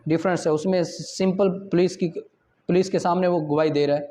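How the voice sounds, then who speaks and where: A man with a Pakistani accent lecturing law students, reading formal passages slowly and deliberately, then explaining them didactically. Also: high-pitched, steady tone, dark tone.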